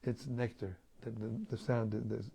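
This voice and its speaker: A hoarse man losing his voice, hosting a daily scripture reading in a relaxed, chatty way over an online video stream. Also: lowish voice, moderate pace. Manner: relaxed, chatty, with light chuckles. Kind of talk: casual talk and Q&A on scripture.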